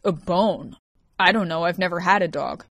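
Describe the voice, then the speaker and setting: A narrator plays a young goth woman in an audio story, blunt and unimpressed. Her questions come out dry and pointed, in a lowish female voice with a very dry recording. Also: moderate pace, a bit bright, dry recording. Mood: probing, blunt.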